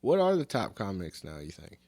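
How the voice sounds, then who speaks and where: A man co-hosting a casual podcast, firing off wry asides and pointed questions. His pitch swings widely and his voice moves up and down as he talks. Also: huge pitch swings, a little fast, warm tone. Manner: wry interjections, quizzing others. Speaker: podcast co-host. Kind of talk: casual chat.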